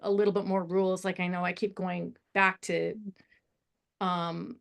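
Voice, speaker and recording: A middle-aged woman giving casual, animated and encouraging feedback on a screenplay, often wandering off her point. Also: lowish voice, unhurried, vocal fry. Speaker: script feedback panellist.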